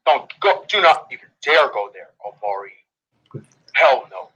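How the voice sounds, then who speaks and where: Guy on voice chat goofing around, bright but kinda husky, teasing and crude. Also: mid-range voice, very animated, measured pace, highs cut off. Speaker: gaming channel host. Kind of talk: joking voice chat while role-playing a roll call.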